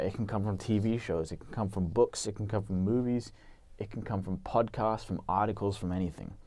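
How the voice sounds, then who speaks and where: A man's voice: sits low, dark tone, no room sound. A young man giving casual, earnest advice on learning languages, with lots of "like" and "you know" fillers.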